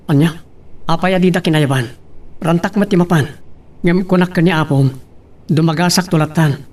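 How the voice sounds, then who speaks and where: A man's voice: a little high, moderate pace, a little mellow, lightly acted. A man acting a concerned grown son in an Ilocano audio drama. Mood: concerned, curious. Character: concerned grown son questioning his father.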